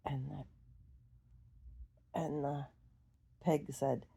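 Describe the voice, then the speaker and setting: A woman storyteller improvising a bedtime fairy tale in simple, halting, sing-song phrases. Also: sits low, level tone, vocal fry, warm tone, slightly rough.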